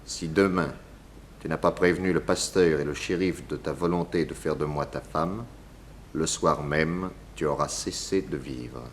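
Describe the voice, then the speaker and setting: An actor playing a methodical, probing detective who questions in a measured, pointed way, in 1960s radio drama style. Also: male voice, extremely low pitch, slight background noise. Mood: stern.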